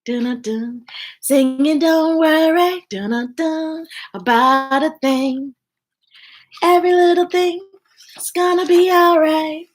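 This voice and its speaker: A woman's voice: high voice, slow pace. An American woman reading children's books on a live stream, singing the text with playful scat sounds and chatting between stories. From United States. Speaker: children's book reader.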